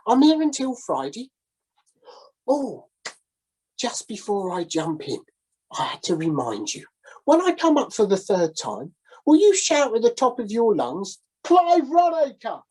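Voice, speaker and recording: A woman's voice: mid-range voice, dark tone, slightly rough, pitched above the performer's own voice, full character voice, low-quality recording. A woman voicing a shifty male sailor who talks like a wheedling salesman. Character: shifty male sailor hawking his own drowning.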